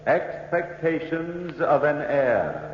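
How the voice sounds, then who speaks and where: An eerie, solemn radio horror announcer, intoning very slowly and dramatically. The voice rings in a long echo on a dull, lightly noisy vintage recording. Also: male voice, on the high side, very animated. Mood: ominous, solemn. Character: solemn, eerie announcer summoning phantoms of the past. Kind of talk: 1940s radio horror introduction.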